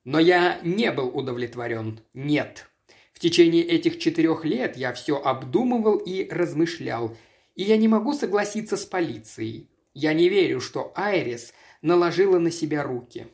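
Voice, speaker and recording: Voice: mid-range voice, expressive, moderate pace, darkish tone, natural voice. Чтец аудиокниги играет ожесточённого вдовца средних лет, который говорит драматично и настойчиво. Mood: agitated conviction. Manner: dramatic, insistent. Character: embittered, obsessive middle-aged widower accusing his guests.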